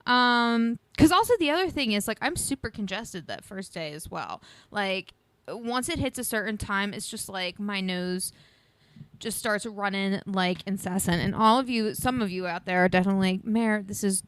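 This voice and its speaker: A woman in her early thirties talks in a loose, rambling way, laughing between thoughts and sounding a little congested. Her voice is smooth and clean, recorded dry and close with no room sound. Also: mid-range voice, normal speed, a bit bright, no echo. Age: 30s. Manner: rambling, chatty, laughs often. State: sniffly, congested. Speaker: podcast co-host. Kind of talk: casual chat.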